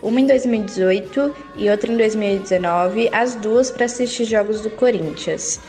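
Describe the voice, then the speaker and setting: A woman's voice: medium pitch, normal speed, warm tone. An eighteen-year-old woman, a football fan, giving plain, straightforward testimony for an audio documentary.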